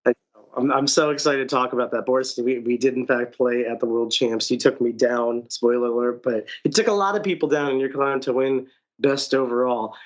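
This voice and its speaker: A man hosting a podcast, talking in an excited, effusive way and repeating phrases for emphasis. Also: medium pitch, a little fast, dull audio. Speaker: podcast host. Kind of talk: enthusiastic podcast chat.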